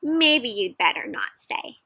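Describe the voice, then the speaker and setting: A woman voicing a puzzled, mildly stern schoolteacher in a storybook reading, deliberate and questioning. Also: on the high side, very animated, bright, forceful tone, husky, dry recording, exaggerated voice.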